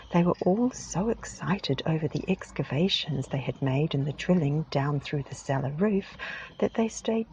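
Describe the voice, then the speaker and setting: A woman softly whispering a storybook aloud for relaxation, close to the mic. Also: sits low, muffled recording, a little hiss or hum. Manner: soft, whispered, unhurried. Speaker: ASMR reader. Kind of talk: whispered ASMR storybook reading.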